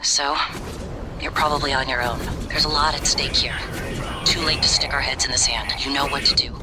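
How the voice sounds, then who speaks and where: An actor playing a tired, earnest scientist giving confidential briefings in a video game. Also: male voice, medium pitch, steady tone, normal speed, background noise, lightly acted. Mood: serious, resolved. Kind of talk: conversational video game dialogue.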